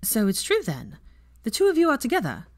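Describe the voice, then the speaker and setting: A woman's voice: fairly high pitch, wildly swooping pitch, brisk, no echo, studio quality, voice pushed up. A woman voicing a knowing, wry grandmother in a story reading, matter-of-fact in manner. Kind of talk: fan fiction audiobook character voice.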